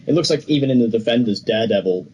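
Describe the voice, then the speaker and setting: A man's voice: medium pitch, lively intonation, rapid-fire, darkish tone, highs cut off. A man co-hosting a podcast in a casual chat style, talking through entertainment news. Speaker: podcast co-host. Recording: clear background noise.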